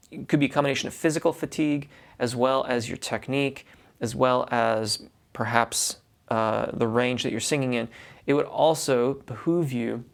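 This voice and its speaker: A man's voice: medium pitch, gravelly. A man who teaches voice, reading a viewer's question aloud and then giving practical advice, often restarting his sentences. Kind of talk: instructional talk to camera.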